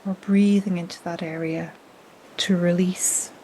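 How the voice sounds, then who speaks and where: A woman guides a meditation in a mellow, breathy, soothing voice that often drops toward a whisper. She takes her time, speaking very slowly at a middle pitch. Gentle ocean waves run softly behind her. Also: rough recording. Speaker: yoga nidra guide. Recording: steady soft noise like ocean waves.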